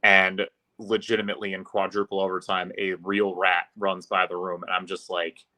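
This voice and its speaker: A man's voice: sits low, monotone, moderate pace, sharp tone. A man who works as an announcer, chatting informally and fluently as a podcast guest. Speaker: podcast guest, arena public address announcer. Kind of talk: casual interview chat.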